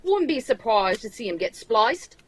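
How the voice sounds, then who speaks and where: Woman as male tavern keeper, Cockney accent, high voice, chummy, gossipy, old-time radio drama. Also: big pitch swings, normal speed, breathy edge, no echo. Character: chatty, friendly male Cockney tavern keeper, former carriage driver.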